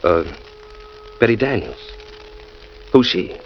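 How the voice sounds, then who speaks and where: An actor playing a teasing, exasperated young husband, quick to grumble, in 1940s radio acting style. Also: male voice, lowish voice, sing-song, exaggerated voice. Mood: wry. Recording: muffled old radio recording with hiss.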